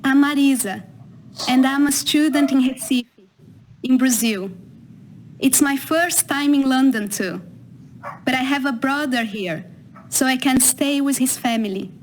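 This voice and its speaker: A woman's voice: sits high, a little slow, long pauses, slight background noise, rough recording. A woman playing a student introducing herself in a scripted audio dialogue.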